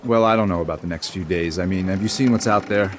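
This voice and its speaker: An actor playing a blunt, stubborn, profane sea captain and treasure hunter in an audio drama. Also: male voice, sits low, fast talker, slight background noise, natural voice. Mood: dismissive. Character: gruff, stubborn, hot-tempered male boat captain and treasure hunter. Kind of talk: full-cast audio drama acting.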